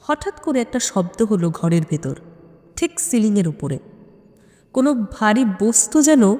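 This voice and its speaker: A woman narrates a suspense story dramatically, with deliberate phrasing and weighty pauses. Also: mid-range voice, mellow. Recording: long hall-like reverb on voice.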